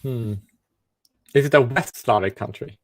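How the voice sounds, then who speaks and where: A man from South Africa hosting a livestream, asking a stranger questions in halting, restart-heavy casual talk over a video call. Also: medium pitch, dry recording. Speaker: livestream host chatting with strangers.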